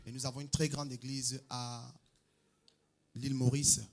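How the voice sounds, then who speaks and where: Cameroonian pastor preaching in short bursts. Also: male voice, mid-range voice, flat delivery. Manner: preaching in short phrases, pausing for translation, loud bursts of praise. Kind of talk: sermon delivered through an interpreter. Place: church hall.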